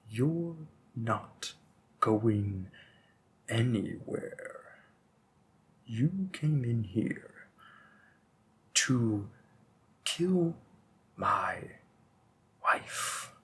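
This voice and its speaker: Slow, menacing centuries-old vampire played by a man. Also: medium pitch, big pitch swings, a bit bright, a little hiss or hum, heavily acted. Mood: cold menace. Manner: deliberate, pedantic, condescending. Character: centuries-old male vampire, refined, menacing, protective husband. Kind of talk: scripted roleplay monologue addressed to the listener.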